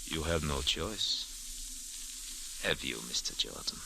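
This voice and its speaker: An actor playing a suave, menacing villain in old radio drama, speaking formally and deliberately with sly insinuation. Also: male voice, rumbling low, lively intonation, creaky, full character voice, poor audio. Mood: smooth menace. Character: suave, menacing male villain offering a sinister deal. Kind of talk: 1940s-50s radio drama acting. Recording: hissy old-time radio recording.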